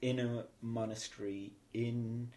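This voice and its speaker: A man's voice: medium pitch, flat delivery, slow pace, crowd noise. A man with a New Zealand accent, a guest telling his life story in a reflective, meandering way, often restarting his sentences. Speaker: guest, spiritual teacher. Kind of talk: casual storytelling interview.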